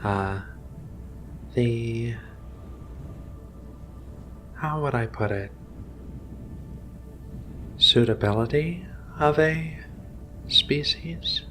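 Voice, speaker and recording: Male ASMR roleplayer, gentle alien researcher: slow, soothing, reassuring delivery with music underneath. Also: mid-range voice. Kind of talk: soft-spoken ASMR roleplay.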